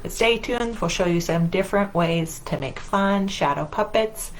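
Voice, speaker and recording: A woman's voice: mid-range voice, normal speed, a little hiss or hum, poor audio. A woman hosting a children's storytime, reading aloud in simple, singsong phrases and putting questions to young listeners. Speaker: children's library storytime host.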